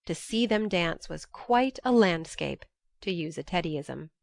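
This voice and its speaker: A woman's voice: on the low side, animated, unhurried, creaky, no room sound. A woman playing a spirited, affectionate young woman reading out her letters home, expressively, in a classic novel audiobook. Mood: contented, amused.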